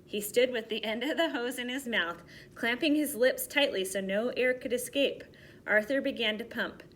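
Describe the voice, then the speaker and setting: A woman teacher reading a storybook aloud to young children and giving simple directions in an expressive way. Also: a little high, normal speed, slight background noise.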